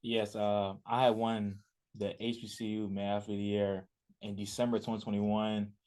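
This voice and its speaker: A man's voice: on the low side, little pitch movement, moderate pace, a little mellow. A young man in his early twenties from Richmond, Virginia, a college athlete answering a radio interviewer in a casual, rambling way with many fillers and restarts. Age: 20s.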